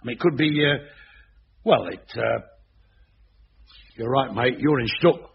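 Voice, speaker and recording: A man's voice: mid-range voice, unhurried, vocal fry, slightly rough, heavily acted. An actor playing a chatty, confiding workman friend in a radio sitcom. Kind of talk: 1980s radio sitcom acting. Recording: dull old radio broadcast.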